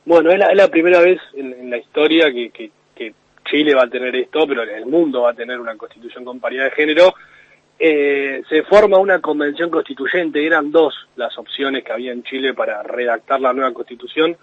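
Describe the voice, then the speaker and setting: Husky man explaining over a phone line. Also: mid-range voice, a little fast, no echo. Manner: explanatory, steady, with frequent restarts. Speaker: radio correspondent. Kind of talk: radio news analysis. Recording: phone-line call into radio.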